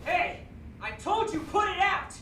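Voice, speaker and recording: A man's voice: extremely high pitch, sing-song, a little slow, pushed and bright, a little hiss or hum, heavily acted. An actor voicing a hot-headed, mouthy boy with a brash, slangy manner in a game dub. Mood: angry. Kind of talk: English dub game cutscene acting.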